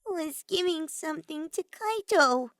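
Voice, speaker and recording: A woman voicing a small, timid teenage girl mage in a story, halting and nervous. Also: expressive, a bit bright, no echo, full character voice. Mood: defensive, stiff. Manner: squeaky, stiff, halting.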